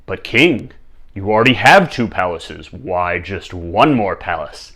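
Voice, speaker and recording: A man voicing a magic lamp that flatters and tempts in a drawn-out way, in a told story. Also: low-pitched, exaggerated voice. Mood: tempting. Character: magic lamp, smooth-talking slow tempter. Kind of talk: storytelling character voice.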